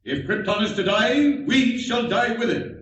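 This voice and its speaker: A man playing a dismissive, authoritative leader of an alien ruling council, formal and measured in 1940s radio style. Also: sits high, fairly bright tone, recorded from a distance. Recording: echoey, muffled 1940s radio broadcast recording.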